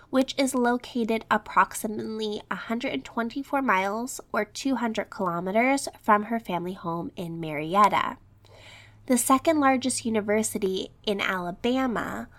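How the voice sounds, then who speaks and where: A woman narrates a true crime story from a prepared script, her voice slightly scratchy as she recovers from an illness. She sits at a medium pitch and moves evenly through dates, figures and quoted remembrances. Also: fairly bright tone. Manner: reads script with quotes and statistics. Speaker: true crime podcast host.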